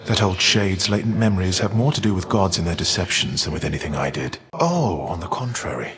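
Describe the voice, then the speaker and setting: A proud bitter titan for a video game, kinda deep, grave old-fashioned wording, big echoey reverb. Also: male voice, animated, fairly bright tone. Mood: disdainful, measured. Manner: formal, measured, grave archaic diction. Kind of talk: scripted video game character voice lines.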